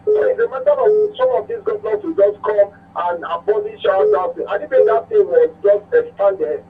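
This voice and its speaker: Very high-pitched northern Nigerian man on phone. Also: big pitch swings. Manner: halting, repeats words. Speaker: phone-in caller. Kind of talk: impassioned opinion on a radio call-in. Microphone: telephone. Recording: tinny narrow phone line.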